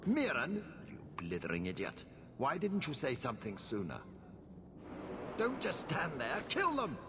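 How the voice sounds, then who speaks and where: A man's voice: mid-range voice, wildly swooping pitch, a little slow, noisy background. An actor voicing an arrogant nobleman in a video game, giving orders in an imperious, scornful way. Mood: haughty. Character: arrogant haughty male nobleman. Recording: game audio with background ambience.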